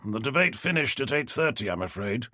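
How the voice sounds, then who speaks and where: A man's voice: mid-range voice, expressive, brisk, sharp tone, no echo, studio quality. A man voicing an affable, rotund older male professor with silvery hair. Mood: breezy. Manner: affable, making light.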